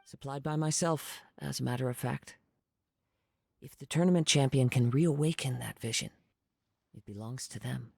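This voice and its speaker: An actor playing a gentle, philosophical young male poet in a video game, with soft, measured, ornate speech. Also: medium pitch, vocal fry, husky, no echo. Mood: thoughtful. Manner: measured, ornate, poetic phrasing.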